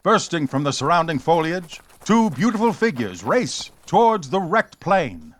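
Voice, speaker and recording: A man's voice: fairly high pitch, very animated, unhurried. A man narrating a superhero radio serial in a grand, theatrical 1940s storytelling style. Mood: grand, dramatic.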